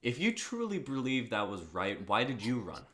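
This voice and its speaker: A man's voice: medium pitch, huge pitch swings, normal speed, noisy background. A young man playing a brash, hot-headed card-summoning fighter, dramatic and cocky, in an amateur script reading. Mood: tense. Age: young adult.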